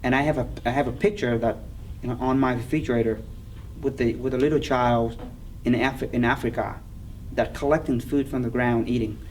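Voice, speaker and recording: A middle-aged man with a Vietnamese accent, speaking earnestly in an oral history interview, halting, with many fillers. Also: mid-range voice, fairly even intonation, a little mellow. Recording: distant mic with clear background noise.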